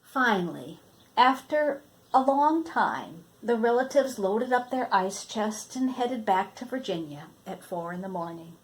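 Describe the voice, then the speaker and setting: A woman's voice: medium pitch, expressive, a little slow, poor audio. An older woman reading a children's storybook aloud, expressively, drawing out words for emphasis. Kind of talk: read-aloud storybook narration.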